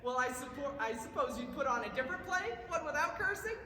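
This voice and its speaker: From off in a very echoey hall, a woman plays an eager, gullible infomercial sidekick. The voice is high and bright, with exaggerated wonder. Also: animated, fast talker. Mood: eager, puzzled. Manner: eager, wide-eyed, exaggerated. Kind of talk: stage acting, parody infomercial. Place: live stage in a large reverberant room. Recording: very echoey hall, distant, faint hiss.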